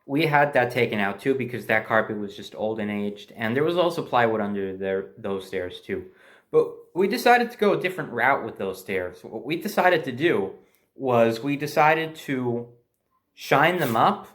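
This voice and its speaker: A man's voice: medium pitch, animated, normal speed. Man telling a casual, rambling personal story. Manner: hesitant, self-correcting, backtracking as he recalls.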